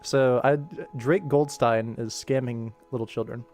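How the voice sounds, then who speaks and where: A man talks casually as the host of an animation work stream. His pitch sits in the middle for a male voice, and he is chatty, detail-focused and self-deprecating. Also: steady tone, unhurried, a little mellow, soft background music. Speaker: animation creator and livestream host. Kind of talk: casual livestream work commentary.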